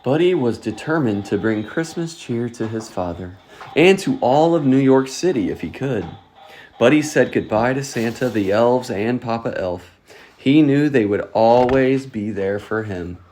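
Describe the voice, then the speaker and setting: A storyteller reading a children's picture book aloud in an expressive, scripted way. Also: mid-range voice, a little slow, a little hiss or hum. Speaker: storytime reader.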